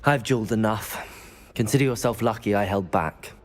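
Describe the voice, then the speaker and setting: An actor playing a polite, earnest teenage wizard student in a game, a husky voice and a courteous, measured manner. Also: male voice, fairly low pitch, close to an everyday voice. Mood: confident.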